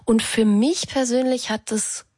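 Radio co-host, woman, 30s, from eastern Germany. Husky tone, dry studio-close sound, personal conversational delivery. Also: a little high, fairly even intonation, a little slow, darkish tone, no echo. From Brandenburg and East Berlin, Germany. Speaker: radio talk show host. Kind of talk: conversational on-air co-host talk.

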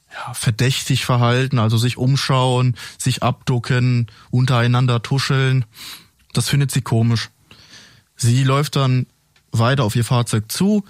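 A man's voice: medium pitch, dry recording. The bilingual French-German policeman invited into a tight, dry podcast studio, a man carefully laying out a case piece by piece for the listeners. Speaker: police officer, interview guest. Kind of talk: recounting a case in a studio interview.

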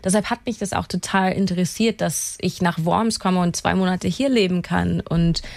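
A woman's voice: fairly low pitch, moderate pace, creaky, darkish tone, no echo. A woman singer-songwriter with a Canadian accent, chatting as a guest in a radio studio interview, laughing often and slipping English words into her talk. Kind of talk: radio interview, casual chat.

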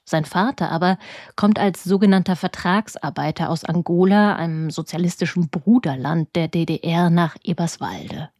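A woman radio reporter narrating a scripted book review in a measured, deliberate way. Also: fairly low pitch, darkish tone, no room sound, clean studio sound.

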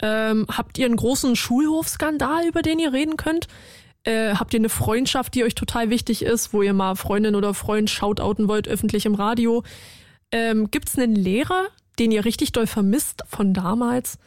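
A woman's voice: a little high, normal speed, dry recording, clean studio sound. A young woman hosting a casual radio call-in show, chatty and slangy with her callers. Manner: chatty, lively, slangy and warm toward callers. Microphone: studio mic.

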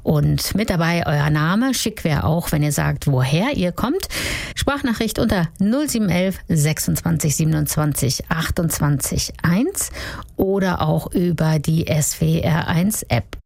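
A woman presenting a radio segment in a lively, chatty way. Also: low-pitched, measured pace, creaky, dry recording. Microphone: studio mic.

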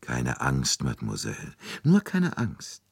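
A man voicing a shrewd, courteous gentleman detective, calm, deliberate and soothing, with bits of French, in an audiobook story. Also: low voice, gravelly, breathy edge, no room sound, studio quality, pitched above the performer's own voice, heavily acted.

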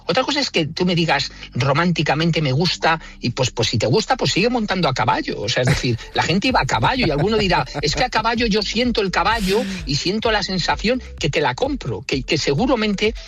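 A man's voice: on the high side, sing-song, a little fast, hardly any pauses, fairly bright tone, slightly rough, faint music behind. A man with a central Spanish accent, explaining things conversationally with emphatic opinions and everyday examples, as an engineer being interviewed. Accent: central Spain Spanish. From Madrid, Spain. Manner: emphatic, opinionated, rhetorical questions.

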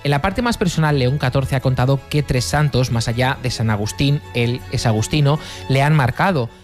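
Male reporter filing a steady news piece. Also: medium pitch, moderate pace, faint music behind.

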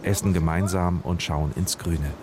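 A man narrating a radio reportage in a calm, scripted storytelling manner. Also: low voice, normal speed, crowd noise.

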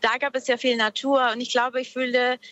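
A woman's voice: fairly high pitch, flat delivery, brisk, a bit bright. A woman from Peru speaks reflectively and hesitantly as a guest on a show, over a lo-fi connection. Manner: hesitant, searching for words. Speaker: caller, guest on a radio show. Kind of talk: casual reflective conversation. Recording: dull, lo-fi connection.

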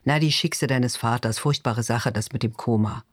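A plaintive, gossipy middle-aged mother from a novel , read out by a man. The voice sits at a medium pitch in a dry recording, needy and prying in manner. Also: moderate pace, dry recording, natural voice. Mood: prying, coaxing. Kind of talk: audiobook character dialogue.

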